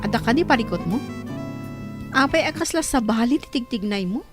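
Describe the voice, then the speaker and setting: A woman's voice: on the high side, lively intonation, a little fast, over music, close to an everyday voice. Woman playing a concerned older sister. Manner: probing, concerned. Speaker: radio drama actor. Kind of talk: radio drama acting.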